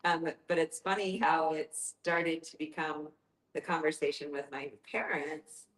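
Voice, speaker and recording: A woman with a low-pitched voice talks informally to a group. Her manner is joking and self-aware, and the room gives her voice a clear echo. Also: fairly even intonation, measured pace, a bit bright. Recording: echoey room.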